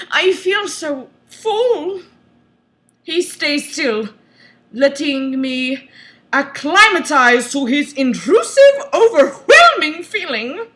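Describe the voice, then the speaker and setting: A woman's voice: sits high, a bit bright. A woman narrating a novel as a comedic mock-dramatic reading, with theatrical, exaggerated emphasis.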